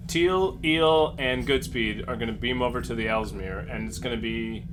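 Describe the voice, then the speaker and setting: A man's voice: medium pitch, moderate pace. A man playing a starship captain in a casual tabletop game, thinking aloud hesitantly and jokily. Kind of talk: casual tabletop roleplay chat. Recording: noticeable background noise.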